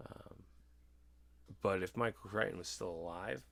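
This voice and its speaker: Man, low pitch, gravelly fry, casual debate, earnest explaining. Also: a little slow, fairly bright tone. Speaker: podcast participant in discussion.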